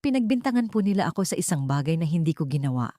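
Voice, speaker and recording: A wronged, despairing mother in an audio drama, voiced by a woman. She sounds low, pleading and sorrowful, with no room echo around her. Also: big pitch swings, rapid-fire, warm tone, clean studio sound. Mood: despairing, tearful.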